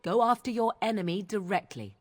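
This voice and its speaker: A woman's voice: medium pitch, a little fast, close to an everyday voice. An actress playing a haughty, cutting young witch in a fantasy video game, archly formal and full of mocking disdain. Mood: cold, imperious.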